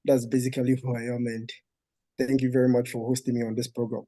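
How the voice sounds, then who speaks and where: Dark-voiced Ghanaian man. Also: mid-range voice, level tone, fast talker, no echo. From Ghana, Eastern Region. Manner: brief introduction, repeating words and saying "yeah". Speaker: fourth-year architecture student.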